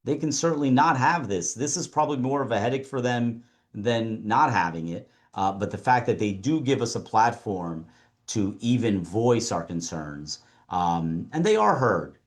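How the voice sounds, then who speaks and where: A man addresses a conference panel in a fairly low voice. He speaks in a measured, deliberate way and often doubles a word as he gets a phrase going. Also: expressive. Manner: measured, repeats words when starting phrases. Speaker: panelist and council member.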